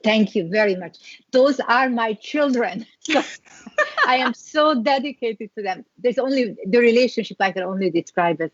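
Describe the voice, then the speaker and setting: A middle-aged woman with a Hungarian accent, a guest recounting her past in an interview, reflective and halting with many restarts. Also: fairly high pitch, lively intonation, no echo, highs cut off. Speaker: guest, skincare founder. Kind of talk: interview storytelling.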